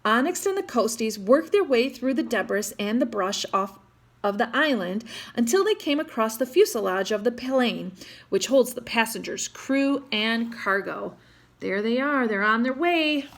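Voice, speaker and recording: A woman's voice: fairly high pitch, lively intonation, normal speed. A woman reading a children's picture book aloud, expressively, with chatty asides to young listeners. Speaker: storytime reader.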